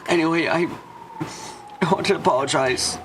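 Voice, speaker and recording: A man's voice: a little high, moderate pace, music in the background, close to an everyday voice, poor audio. A man voicing a blunt, casual young man who talks straight and gets flustered. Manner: casual, direct.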